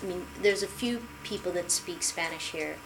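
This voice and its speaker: A woman interviewing a man, giving short backchannels and asking gentle questions. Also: lowish voice, normal speed, noisy background. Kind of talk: casual interview.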